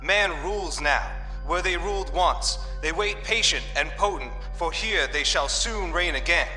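Guy doing a creepy old tv preacher, slow grand doom sermon, sharp voice with big long reverb. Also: on the high side. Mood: solemn, menacing. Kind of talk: droning apocalyptic sermon in radio play. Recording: long reverb, music underneath.

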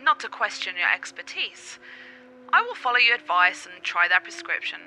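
A woman's voice: medium pitch, very animated, normal speed, bright, forceful tone, slight background noise, rough recording. An actress playing a doctor's ailing but strong-willed girlfriend, speaking in a wry, theatrical radio play manner. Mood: wry, stubborn.

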